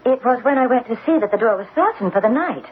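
An actress playing a polite, wide-eyed young gentlewoman in an old-time radio drama, eager and theatrical. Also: a little high, expressive, quick pace, natural voice. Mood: earnest. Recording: tinny, band-limited old radio recording, faint noise.

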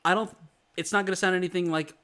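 A young man co-hosting a podcast in casual banter, often breaking into high-pitched giggles. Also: fairly even intonation, fast, pushed and bright. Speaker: podcast co-host.

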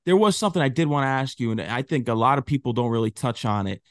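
A man hosts an interview in an easygoing tone, with a voice of middling pitch. He strings his questions together loosely as he thinks aloud. The sound is dry and close, with no room echo. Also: quick pace. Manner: relaxed, conversational, long rambling questions. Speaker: podcast host, interviewer. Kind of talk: casual interview chat.

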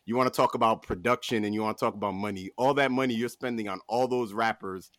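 A man's voice: on the low side, fast talker, fairly bright tone, no echo, clean studio sound. A man hosting a podcast in casual comic debate, arguing emphatically with mock disbelief. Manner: argumentative, mock-incredulous, emphatic. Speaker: podcast host.

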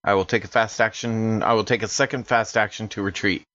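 An American man with a low voice talks casually as a tabletop game player. His recording is dry and somewhat dull and lo-fi. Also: fairly even intonation, a little fast, a bit bright, no echo. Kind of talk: casual tabletop roleplay chat.

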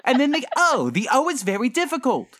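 A man voicing a pompous, bumbling, easily offended professor with a put-on foreign accent, halting and stumbling over words in an improvised comedy podcast. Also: very high voice, wildly swooping pitch, a bit bright, exaggerated voice. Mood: defensive.

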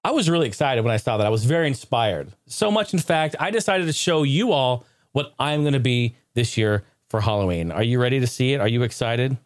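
A man hosting a podcast in casual comedic chat, talking in a jokey, excitable, informal way. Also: medium pitch, a little fast, few pauses, no echo, studio quality. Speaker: podcast host.

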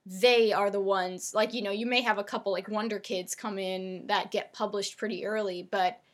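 A woman's voice: mid-range voice, fairly even intonation, normal speed. A woman in her thirties co-hosting a casual podcast, rambling with lots of "like" and "um". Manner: rambling, filler-heavy, lots of "like". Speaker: podcast co-host.

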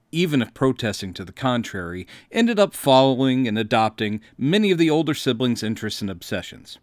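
A man's voice: mid-range voice, dry recording, studio quality. An American man in his fifties from Mississippi, hosting with scripted autobiographical narration in a wry, theatrical storytelling manner. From Mississippi, United States. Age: 50s. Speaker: podcast host.

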